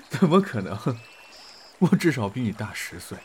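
An actor playing a composed, gentle and self-assured man of about thirty in a Chinese audio drama, with a reassuring manner. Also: mid-range voice, slight background noise. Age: around 30.

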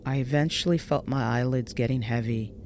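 A woman reads a scripted first-person scary story in a measured, dramatic way, drawing out some phrases. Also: rumbling low, fairly even intonation, a little mellow, slight background noise. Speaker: narrator reading a listener's scary true story.

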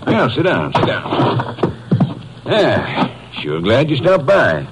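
Slow-paced man, sing-song swoops, effusive small-town host, vintage radio drama. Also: mid-range voice, wildly swooping pitch, full character voice. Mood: jovial welcome. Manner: effusive, repeats his invitations. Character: hearty, welcoming leading small-town citizen. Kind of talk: 1950s radio drama acting. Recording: very noisy old-time radio recording.

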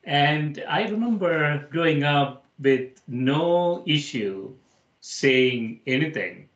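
A man from India hosting an interview in a casual, conversational way, reflective and halting as he talks. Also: fairly high pitch, animated, reverberant, lo-fi sound. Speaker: interview host.

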